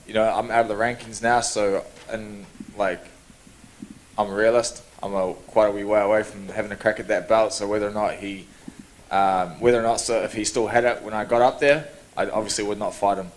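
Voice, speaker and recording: A young man, answering reporters' questions in an easygoing, relaxed way at a media day. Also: lowish voice, fairly quick, a little hiss or hum, low-quality recording. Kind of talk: press scrum answers.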